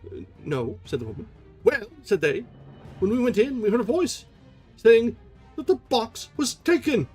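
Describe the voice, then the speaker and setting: A man's voice: very high-pitched, wildly swooping pitch, unhurried, a little mellow, slightly rough, soft background music, pitched above the performer's own voice, full character voice. A man voicing a nervous male theatre inspector who stammers and pleads while explaining himself. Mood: flustered.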